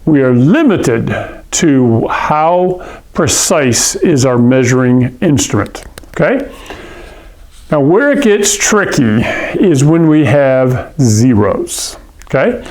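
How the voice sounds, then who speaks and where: A man's voice: medium pitch, sing-song, takes it slowly, a little mellow, rough recording. A man from Hawaii teaching a science lesson, explaining patiently and often checking in with "okay?" and "all right?". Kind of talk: classroom lecture.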